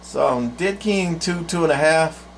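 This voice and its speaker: An older man giving a casual, unscripted equipment demo in a rambling, folksy, halting way with long pauses. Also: fairly high pitch, animated, vocal fry, background noise. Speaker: presenter, CB radio collector.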